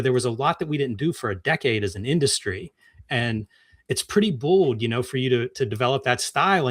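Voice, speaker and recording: A man's voice: medium pitch, fairly bright tone, no echo, studio quality. A middle-aged man co-hosting a casual interview, speaking in a thoughtful, conversational way. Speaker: interviewer, co-host. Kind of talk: casual discussion.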